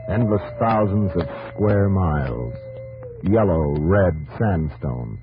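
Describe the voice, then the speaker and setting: Very deep, solemn male radio narrator. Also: animated, slow, background noise. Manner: solemn, portentous, clipped phrases. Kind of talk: dramatic 1950s radio narration. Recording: dull lo-fi 1950s radio recording. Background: dramatic music.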